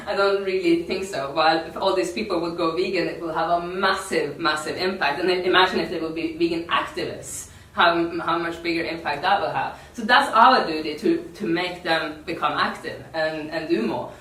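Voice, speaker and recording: A woman from Norway with a Norwegian accent gives an informal workshop talk in non-native English, halting and repeating words. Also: on the low side, a bit bright, slight background noise. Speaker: activist workshop speaker. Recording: echoey room, distant mic.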